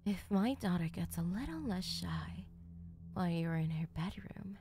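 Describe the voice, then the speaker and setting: A woman's voice: lowish voice, expressive, moderate pace, mellow, voice pushed down. A woman voicing a teasing, doting middle-aged mother in an anime-style ASMR roleplay, with coy, playful endearments. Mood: sly teasing.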